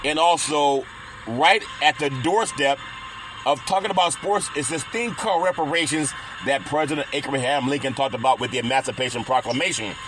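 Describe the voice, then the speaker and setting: A man hosting a sports talk radio show, animated and quick with interjections, asking a guest questions. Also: medium pitch. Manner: animated, chanting, laughs often, quick interjections. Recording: noisy radio broadcast.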